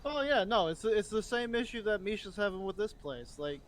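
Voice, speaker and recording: Higher-pitched man, blunt and sweary, street noise. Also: very animated, brisk. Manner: blunt, profane, grumbling rant. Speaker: role-play game player. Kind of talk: casual in-character chat.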